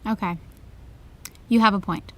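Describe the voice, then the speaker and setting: An actress playing a groggy, placating young best friend in an audio drama, casual and hesitant. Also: female voice, mid-range voice, measured pace, a bit bright, natural voice. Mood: sympathetic. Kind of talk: naturalistic audio drama acting.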